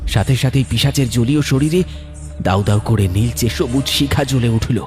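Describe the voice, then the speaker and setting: A man's voice: mid-range voice, moderate pace, darkish tone. A man narrating a scripted horror story in a dramatic, suspenseful storytelling manner. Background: music.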